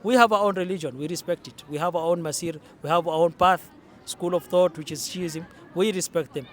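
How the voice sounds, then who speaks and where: A young man from Kenya speaks in an earnest, explanatory way, often restarting his sentences. His voice sits a little high for a man. He is recorded outdoors in a city. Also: fairly even intonation, fairly quick. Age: young adult. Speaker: interviewee. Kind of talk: casual interview.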